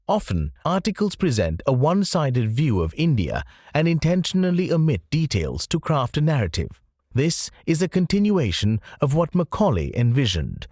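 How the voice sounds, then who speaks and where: Male audiobook narrator with very animated intonation. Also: medium pitch, breathy edge, no room sound, clean studio sound. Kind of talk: scripted audiobook narration of nonfiction.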